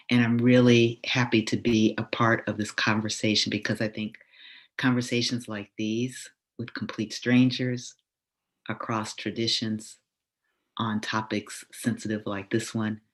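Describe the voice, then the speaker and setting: A panelist speaking earnestly on a video call, halting with fillers. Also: medium pitch.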